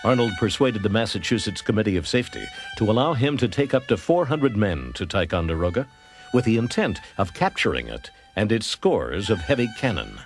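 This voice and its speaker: A man's voice: on the low side, sing-song, fairly quick, over music. An American man narrating a scripted history documentary.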